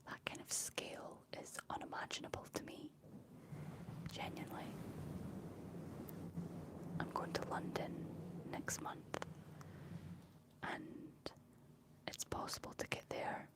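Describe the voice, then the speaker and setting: A woman's voice: slow pace, long pauses. A woman with a Scottish accent whispering as an ASMR live streamer, reading and answering chat messages. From Scotland. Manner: speaks entirely in a whisper.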